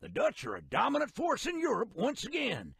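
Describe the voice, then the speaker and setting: An actor playing a folksy, cheerful old-timer with a rural Western American accent, narrating a video game cutscene in a gravelly, drawling storyteller's way. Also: male voice, very high voice, big pitch swings, fairly quick, fairly bright tone, no room sound, studio quality, heavily acted. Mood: gleeful, admiring. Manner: colloquial drawling storyteller, exaggerated.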